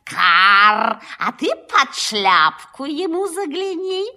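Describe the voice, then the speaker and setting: Cawing crow: forceful bright tone, slow delivery, bossy and knowing, children's audio tale. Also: female voice, high voice, very animated, heavily acted. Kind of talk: children's audio play acting.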